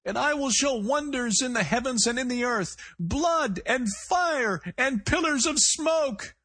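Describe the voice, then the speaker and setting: A man voicing a male fanatic who shouts scripture in a high, strained, cracking voice. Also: expressive, heavily acted. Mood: fervent. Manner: archaic scripture chanted slowly and fervently.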